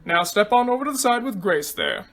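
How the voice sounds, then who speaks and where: A woman's voice: medium pitch, wildly swooping pitch, a little fast. A woman voicing a breezy, teasing male reality-show host in a story, showy and glib.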